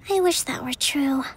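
An actress playing a composed, principled young priestess leader in a video game, measured, formal and articulate. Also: female voice, high-pitched, slight background noise. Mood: weary. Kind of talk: video game character acting.

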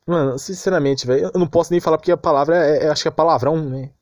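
A man's voice: fairly high pitch, very fast, dry recording, studio quality. A man with a Brazilian Portuguese accent giving an informal, exasperated rant as an anime commentator, repeating himself and using lots of slang.

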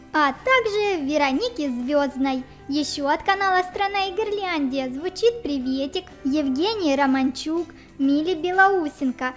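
A children's gaming channel host giving let's play commentary and shout-outs in a cutesy, presentational way. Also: high-pitched. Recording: music bed under the voice. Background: background music.

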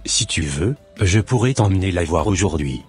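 Deep-voiced young evangelist played by a man. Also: lively intonation, moderate pace, slightly rough, faint music behind, exaggerated voice. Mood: polite. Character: young marriage-minded evangelist man, polite and scheming.

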